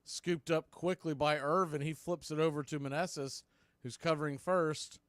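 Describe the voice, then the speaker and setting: A man works as a baseball play-by-play announcer. His voice sits a little high for a man. He delivers calls in short, clipped phrases with pauses between them, in a very dry sound free of room echo. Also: fairly even intonation. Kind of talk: live sports radio play-by-play commentary.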